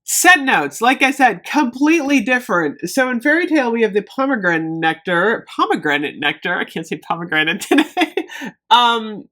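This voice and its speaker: A woman chatting casually to viewers in a product review vlog, emphatic and thinking out loud as she goes. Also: a little high, sing-song, moderate pace. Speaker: beauty product review vlogger.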